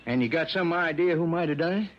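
A man's voice: on the high side, fast, lightly acted. An American man playing a folksy, hesitant small-town policeman who sounds deferential but uneasy, in old radio drama style. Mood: folksy, eager. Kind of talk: 1950s-60s American radio drama acting. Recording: dull, noisy old radio recording.

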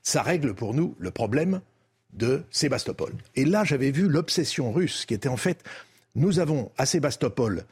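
An older man with a French accent from France, husky-voiced, recounting diplomatic history as a debate guest in a measured, didactic way with emphatic phrasing. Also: mid-range voice, lively intonation.

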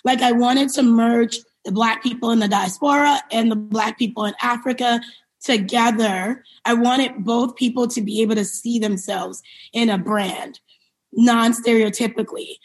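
A woman with a fairly high voice speaks as a guest in an interview. She is from New York City and tells her story in a reflective, explanatory way, leaning on key words. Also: fairly even intonation, normal speed, breathy edge, rough recording. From born in Liberia, grew up in New York City. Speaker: interview guest, company founder and CEO. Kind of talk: conversational interview answers, personal storytelling.

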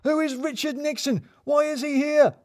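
A man's voice: very high-pitched, fairly even intonation, normal speed, a little mellow, dry recording, studio quality, pitched above the performer's own voice. A man voicing a jittery, self-important male office manager who hisses and talks melodramatically. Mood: startled. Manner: melodramatic, hissing, manic.

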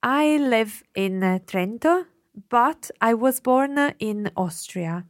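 A woman teaching a language lesson. She reads prompts slowly, repeats them, and corrects gently. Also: on the high side, expressive, dry recording, studio quality.

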